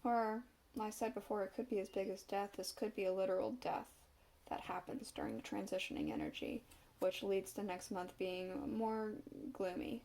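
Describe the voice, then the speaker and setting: A woman's voice: mid-range voice, normal speed, a little mellow, rough recording. A woman doing a casual tarot reading to camera, a bit stuffed up from a cold and musing aloud as she turns the cards. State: stuffed up, slight cough. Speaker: tarot reader.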